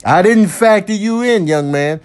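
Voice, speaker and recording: A man with a high voice riffs casually in a slangy, conversational way. He comments as a parent, spinning stories with comic mock indignation. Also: lively intonation, unhurried, clear, resonant tone.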